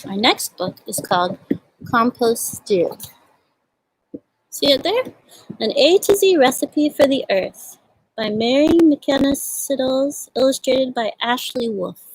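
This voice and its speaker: A woman hosting a storytime for young children, explaining and reading aloud in simple words with little questions, in a slightly husky voice. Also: sits high, lively intonation, takes it slowly, rough recording. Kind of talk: show-and-tell and read-aloud for young children.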